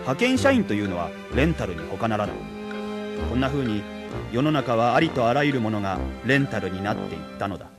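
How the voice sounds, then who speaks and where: A male actor playing a jokey, excitable salaryman in a Japanese radio comedy drama, given to clowning and puns. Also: mid-range voice, brisk, loud music behind the voice. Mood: reflective narration. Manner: clowning, breaks into puns and bits of song. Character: jokey, excitable salaryman, cheerful punster and father.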